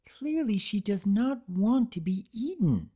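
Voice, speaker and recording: A woman voicing a gentle cartoon pegasus, protective and assertive, with an earnest, soft but firm manner. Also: medium pitch, sing-song, a little slow, voice pushed up. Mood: plaintive, firm.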